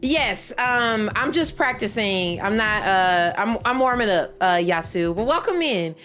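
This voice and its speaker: A woman with a stuffy nose chatting casually with her viewers while she warms up at a game, rambling and repeating herself. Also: medium pitch, expressive, a little slow. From Michigan. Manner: rambling, repeats herself, chats with stream chat. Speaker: livestreamer playing a speedrun practice.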